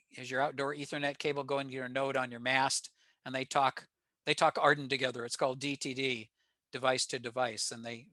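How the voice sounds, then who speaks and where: A man's voice: medium pitch, pushed and bright, dry recording. An American man giving an informal technical slide presentation to a club. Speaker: presenter at a ham radio club meeting.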